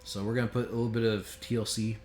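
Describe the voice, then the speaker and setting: A man's voice: on the low side, little pitch movement, fast talker, darkish tone. A man giving casual, joking and sometimes profane commentary as a game streamer. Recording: roomy echo with faint background noise.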